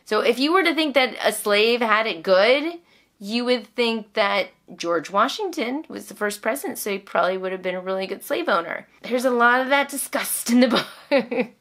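A woman's voice: on the high side, animated, normal speed, a bit bright. A middle-aged American woman chatting casually to camera as a homeschooling vlogger, stressing points emphatically and repeating phrases. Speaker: homeschooling vlogger reviewing books. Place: indoors, small room at home.

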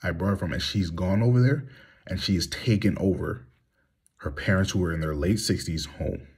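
A man's voice: sits low, normal speed, darkish tone, slightly rough. A man in his thirties speaking bluntly and heatedly in a candid monologue, reclining in a small room and filming himself on a phone held at arm's length. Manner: heated, blunt, rhetorical questions. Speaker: vlogger.

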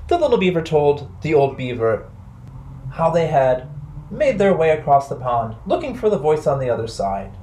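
Young man, children's storyteller, lively swooping pitch, playful, deliberate phrasing. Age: young adult. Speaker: library storytime host.

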